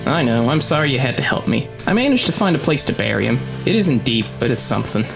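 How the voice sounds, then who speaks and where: A man's voice: medium pitch, sing-song, quick pace, creaky, music in the background. A man acting in an audio drama as a showy but loyal, brotherly fight-ring announcer. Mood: subdued.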